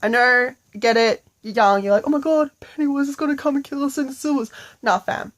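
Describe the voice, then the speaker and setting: A woman's voice: sits high, fairly quick, close to an everyday voice. Woman playing a slangy, laid-back killer clown. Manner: slangy, casual.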